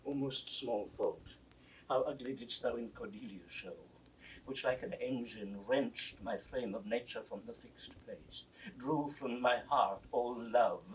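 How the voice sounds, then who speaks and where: An actor playing a proud, raging old king in a classical 1960s Shakespeare audio drama, grand and declamatory, swinging from hushed to roaring. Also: medium pitch, takes it slowly, recorded from a distance, a little hiss or hum, close to an everyday voice, rough recording. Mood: wounded, brooding. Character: aged king, proud, raging at his daughter.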